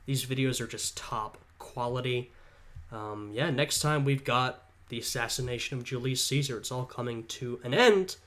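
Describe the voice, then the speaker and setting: A man with a stuffed-up, congested voice talks casually as he reacts to a history video. He thinks aloud, hedging and musing over each point at an ordinary, middling pitch. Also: normal speed, fairly bright tone.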